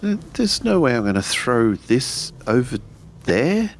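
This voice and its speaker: A man's voice: mid-range voice, very animated, unhurried, a little hiss or hum. A man playing a tabletop roleplaying game, speaking in character and narrating his character's actions. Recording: music bed behind the voice.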